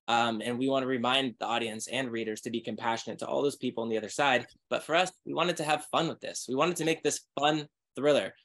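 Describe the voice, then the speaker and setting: Energetic man author pitching his book. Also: fast talker, few pauses. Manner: energetic, promotional. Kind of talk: webinar conversation. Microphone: video call.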